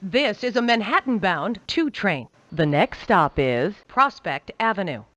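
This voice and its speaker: A woman giving recorded train announcements in a measured, formulaic announcer delivery. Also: medium pitch, sing-song, a bit bright, dry recording. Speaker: transit announcer.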